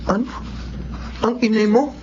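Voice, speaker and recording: A man's voice: high voice, measured pace, a little mellow, dull audio, slight background noise. A man playing a worried, disapproving young Filipino husband in a radio drama.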